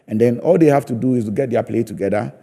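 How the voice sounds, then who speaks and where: A man's voice: medium pitch, fast talker, creaky, mellow. A man with a Ghanaian accent speaking conversationally as a guest in a TV interview, often repeating phrases for emphasis. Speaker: theatre play maker and comedy performer, interview guest.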